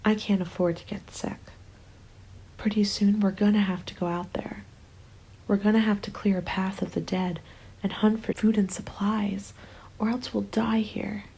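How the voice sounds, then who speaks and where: Woman acting a hushed, very creaky starving survivor. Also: mid-range voice, steady tone, normal speed, a little hiss or hum, natural voice, rough recording. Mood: desperate, resolute. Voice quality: creaky, soft. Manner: hushed, breathy, drifting into whispers. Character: starving, bitter woman survivor, former nurse, in zombie apocalypse. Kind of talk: ASMR dramatic roleplay monologue. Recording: music under the voice. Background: music.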